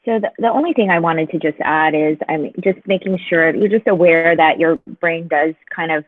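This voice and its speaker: A man's voice: on the high side, fairly quick, no room sound. A man from California speaking on a video call forum, thinking aloud with many fillers and restarts. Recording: tinny phone-like call audio.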